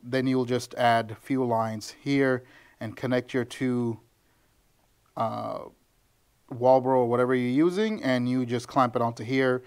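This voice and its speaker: A man's voice: medium pitch, a little mellow. Guy in his 30s, mechanic, chill how-to walkthrough, lots of ums, camera right up close, small office. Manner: loose and conversational, with frequent ums and restarts. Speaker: tuning shop mechanic. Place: small office with bare walls, indoors. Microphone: handheld camera close to face.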